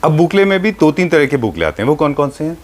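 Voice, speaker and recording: A man's voice: on the high side, sing-song, fast. A man with an Indian accent hosting a conversational showcase, explaining to viewers and mixing Hindi with English as he addresses them directly. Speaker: host of a furniture showcase show.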